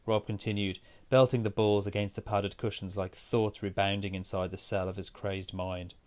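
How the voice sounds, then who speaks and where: Low-voiced man reading a story. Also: level tone, moderate pace, a little mellow. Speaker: author reading his own story. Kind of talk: scripted literary narration.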